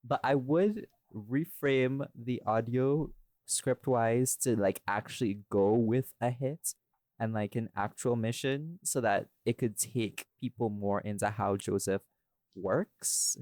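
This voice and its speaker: A man's voice: mid-range voice, slow pace, darkish tone, no echo. A man hosting a casual behind-the-scenes chat about his audio work, self-deprecating and jokey, with some swearing. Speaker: podcast host, audio creator and voice actor.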